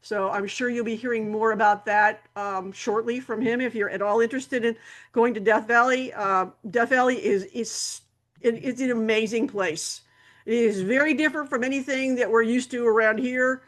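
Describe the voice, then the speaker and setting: Woman, club host, fairly high voice, meandering announcements full of fillers. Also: steady tone, normal speed. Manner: rambling, many fillers and restarts. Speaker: camera club meeting host.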